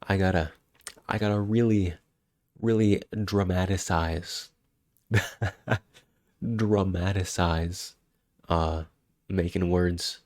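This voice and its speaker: A man's voice: low-pitched, steady tone, very slow, pauses often, a little mellow, dry recording, studio quality. A man with a head cold, sounding congested, chatting casually and rambling on a live stream. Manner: rambling, self-interrupting, chuckling.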